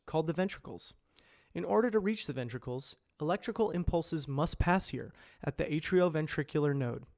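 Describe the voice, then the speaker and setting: A man with a somewhat high voice narrates scripted explainer copy. He speaks in a measured way and enunciates each term clearly, in the manner of an educational or corporate voice-over. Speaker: amateur voice actor practicing narration.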